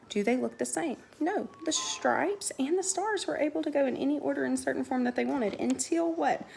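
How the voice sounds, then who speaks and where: Female elementary teacher leading a read-aloud, question-and-answer delivery, slightly high voice with creak, faint noise behind. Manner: instructional, frequently posing questions and answering them. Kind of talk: read-aloud lesson with guiding questions to young pupils.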